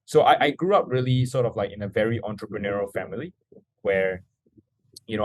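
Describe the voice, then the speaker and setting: A man's voice: on the low side, a little mellow, no echo. A young man from Singapore, telling his story as a podcast guest in an earnest way full of "you know" fillers. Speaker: guest, startup founder.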